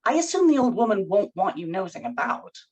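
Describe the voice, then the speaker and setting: A woman's voice: medium pitch, big pitch swings, brisk, a little mellow, breathy edge, lo-fi sound. A woman at a screenplay table read playing a sardonic, disapproving lover with dry, arch, pointed delivery. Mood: arch, probing.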